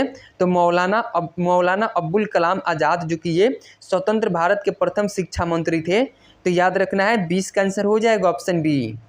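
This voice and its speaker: Exam-prep tutor, medium pitch, instructional and repetitive delivery of quiz questions and answers. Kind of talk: quiz lesson, reading questions and giving answers.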